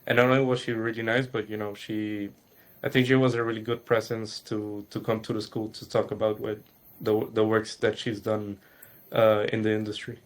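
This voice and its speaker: A man's voice: on the low side, flat delivery, normal speed. A man joining a school webinar, speaking earnestly and often repeating words as he starts a thought. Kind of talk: webinar discussion.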